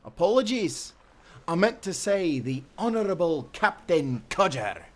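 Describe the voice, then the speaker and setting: A man's voice: high-pitched, sing-song, measured pace, slight background noise. A man playing a hearty, friendly timberman turned sailor who talks in folksy, encouraging old-time seafaring speech in a nautical audio drama. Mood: cheeky, teasing. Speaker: sailor guiding a newcomer.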